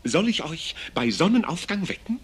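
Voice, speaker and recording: An actor playing an obliging, eager grand vizier in a puppet fairy tale, with courtly, theatrical delivery. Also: male voice, high-pitched, very animated, moderate pace. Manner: courtly, declamatory. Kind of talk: theatrical German puppet-play acting.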